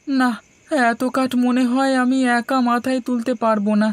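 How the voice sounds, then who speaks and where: Woman acting a meek, high-voiced young brother. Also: steady tone, unhurried, natural voice. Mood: worried, pleading. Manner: meek, earnest, polite. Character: humble, honest, hardworking youngest brother, young male. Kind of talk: cartoon folktale voice acting.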